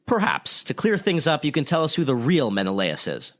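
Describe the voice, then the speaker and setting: A man's voice: medium pitch, huge pitch swings, a little fast, fairly bright tone. A man playing an earnest, probing news reporter, formal and pointed, in old-time radio style.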